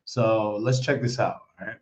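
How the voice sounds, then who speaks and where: A young man hosting a casual live stream, hoarse with a worn-out voice, chatty and excitable as he repeats himself. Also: on the low side, moderate pace. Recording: slightly dull lo-fi stream audio.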